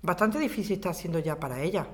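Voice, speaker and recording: A woman's voice: fairly low pitch, animated, quick pace, close to an everyday voice. A woman with an accent from Spain playing a conciliatory housing lawyer who reasons and appeals in a radio drama. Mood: reasoning. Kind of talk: acted community radio drama.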